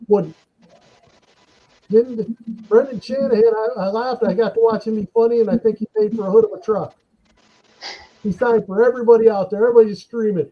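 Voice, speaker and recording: Male co-host, high and husky, casual storyteller. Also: fairly quick, pauses often, rough recording. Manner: animated anecdotes, laughs while telling stories.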